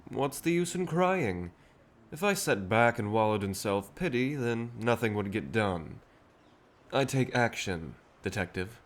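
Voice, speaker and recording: An actor playing a cheerful, self-assured, century-old vampire rebel leader in an audio drama, courteous and persuasive with a theatrical manner. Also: male voice, mid-range voice, animated, a little slow, a little hiss or hum, natural voice. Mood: confident, welcoming. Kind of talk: scripted audio drama acting.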